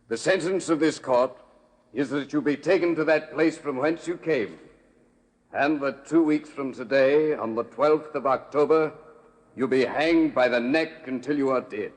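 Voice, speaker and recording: An actor playing a stern judge, speaking gravely and formally in 1940s radio drama style. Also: male voice, medium pitch, lightly acted. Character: solemn, stern English judge passing sentence. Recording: echoey courtroom effect, vintage radio recording.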